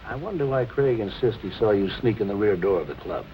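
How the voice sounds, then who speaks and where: An actor playing a calm police captain in 1940s film noir style, questioning in a measured, politely insistent way. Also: male voice, on the low side, highs cut off, a little hiss or hum, close to an everyday voice. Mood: coolly probing.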